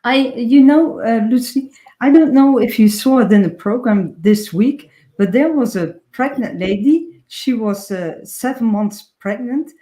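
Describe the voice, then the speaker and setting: A woman on a discussion panel who speaks haltingly, with many fillers. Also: a little high, animated, warm tone, low-quality recording.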